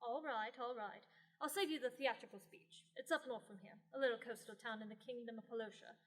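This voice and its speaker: A woman's voice: a little high, fairly quick, close to an everyday voice. A young woman playing a long-lived sorceress in a radio play, blunt and dry with sardonic, theatrical asides. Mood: evasive. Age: young adult. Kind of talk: scripted radio play acting.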